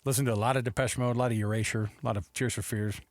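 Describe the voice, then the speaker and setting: A man's voice: medium pitch, steady tone, very fast, no room sound. An American man hosting sports talk radio with casual banter, speaking into a close studio microphone.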